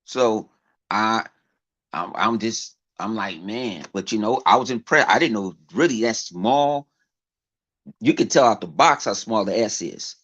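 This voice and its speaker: A man's voice: on the low side, lively intonation, a little slow, fairly bright tone. A man with a big voice, ranting in a casual vlog, profane and full of fillers and repeated words. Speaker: gaming YouTuber. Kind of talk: casual off-the-cuff vlog rant. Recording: dull lo-fi recording.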